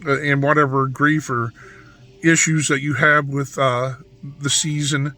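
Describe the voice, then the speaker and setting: A man with a hoarse, raspy voice, talking through a casual vlog in a rambling monologue full of restarts and asides. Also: mid-range voice, flat delivery, a little slow, sharp tone. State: hoarse from yelling. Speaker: vlogger.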